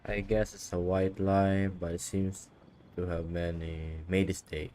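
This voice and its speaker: Very deep-voiced woman streaming a game. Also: flat delivery, a little slow, warm tone. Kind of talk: casual live gameplay commentary. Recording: game music behind the voice.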